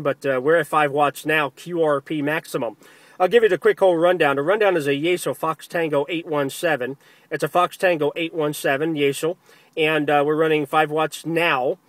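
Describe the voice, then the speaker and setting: A man's voice: fairly high pitch, a bit bright, no room sound. A man operating amateur radio, talking over the air and repeating phrases for emphasis. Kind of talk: ham radio contact, spoken to camera and over the air. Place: outdoors in the field.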